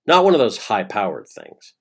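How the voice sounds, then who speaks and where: Husky Canadian man telling a dramatic story. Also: fairly low pitch, huge pitch swings. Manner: theatrical, suspenseful phrasing with deliberate pauses. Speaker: playwright reading his own play. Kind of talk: dramatic autobiographical monologue, storytelling.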